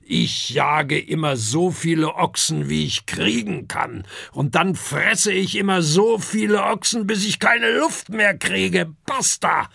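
A storybook narrator voicing a big, gluttonous, grumpy male dragon father with a hoarse, growling, blustering voice. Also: mid-range voice, animated, extremely slow, fairly bright tone, no room sound, clean studio sound, exaggerated voice. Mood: angry, blustering.

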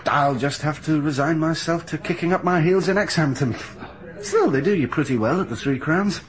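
An actor playing a cheerful, pushy newspaper reporter in a radio drama, chatty and breezy. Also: male voice, a little high, normal speed, natural voice. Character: brash cheerful young male newspaper reporter. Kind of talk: 1980s BBC radio drama acting.

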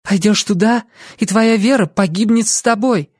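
A woman's voice: medium pitch, moderate pace, a little mellow, no echo, clean studio sound, pitched above the performer's own voice, natural voice. A woman narrator voicing a desperate, weary young woman who pleads insistently with her beloved in an audiobook. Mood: resolute.

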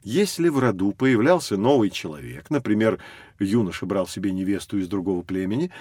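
A man's voice: on the low side, darkish tone, no echo, studio quality. An actor playing a knowledgeable male professor who explains prehistory to children in a scripted educational audio drama. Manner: explanatory, lecturing. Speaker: educational guide.

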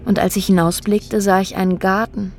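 Radio drama role, played by an actress: woman witness, mid pitch, measured and halting, recalling a disturbing ordeal plainly over clear music. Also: little pitch movement, darkish tone, lightly acted. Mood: absorbed, subdued. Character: woman quietly recounting a disturbing, strange ordeal. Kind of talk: radio drama acting, reflective monologue. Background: music under the voice.

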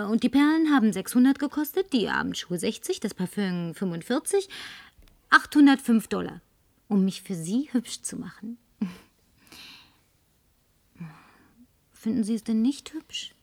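An actress in a German radio play playing a flirtatious, tipsy, insistent career woman with coquettish, languid phrasing and breathy murmurs. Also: mid-range voice, no echo.

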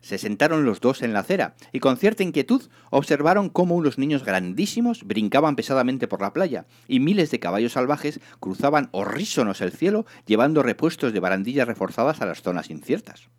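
A man narrating a comic story in a theatrical, expressive scripted reading. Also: mid-range voice, fairly quick, hardly any pauses. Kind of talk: dramatised comic audiobook narration.

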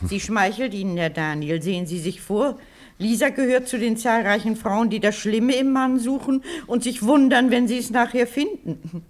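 An actress in a German radio play as an energetic, sharp-tongued, unmarried middle-aged elder sister, wry, teasing and commanding. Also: female voice, a little high, moderate pace, close to an everyday voice.

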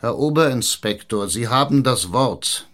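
A man's voice: mid-range voice, animated, unhurried, fairly bright tone. Bossy crafty mayor in a radio play, sardonic and emphatic, mid male voice. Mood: stern, interrogating. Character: blustering, cunning small-town mayor, crude and domineering. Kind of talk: German radio drama acting.

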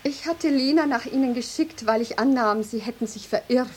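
Actress as a courteous, higher-voiced lady. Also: moderate pace, darkish tone, slight background noise, lightly acted. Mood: gracious. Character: formal, courteous lady of the tower. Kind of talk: German radio drama acting.

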